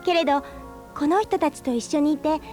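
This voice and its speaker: A woman playing a soft-spoken, thoughtful young woman. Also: high voice, moderate pace, close to an everyday voice. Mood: reflective. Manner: soft-spoken, reflective inner narration. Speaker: narrator and lead character. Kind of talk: radio drama narration. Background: music.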